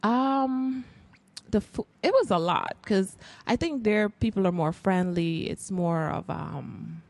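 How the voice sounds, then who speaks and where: A woman's voice: mid-range voice, animated, takes it slowly, gravelly, darkish tone, no echo. A young woman with a Guyanese accent, chatting as a podcast guest and laughing often as she tells stories. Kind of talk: casual interview chat.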